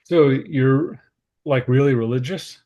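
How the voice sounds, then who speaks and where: A man's voice: mid-range voice, slow, warm tone, lo-fi sound, close to an everyday voice. A man acting an anxious, straight-laced and sceptical character in a naturalistic table read. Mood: awkward curiosity.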